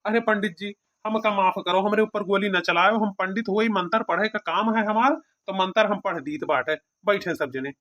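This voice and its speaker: A woman's voice: medium pitch, normal speed, a bit bright, highs cut off, close to an everyday voice. A woman voicing a meek, pragmatic village priest with an Awadhi accent in a cartoon comedy. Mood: pleading. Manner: pleading, advising.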